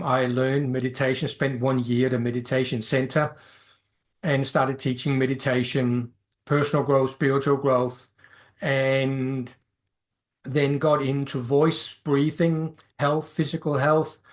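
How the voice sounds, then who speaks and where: A middle-aged man from Denmark with a Danish accent, speaking English as a second language while hosting a solo podcast as a life coach. He talks in a halting, reflective way and fills his pauses with "um" and "uh". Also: mid-range voice. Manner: hesitant, many fillers and restarts.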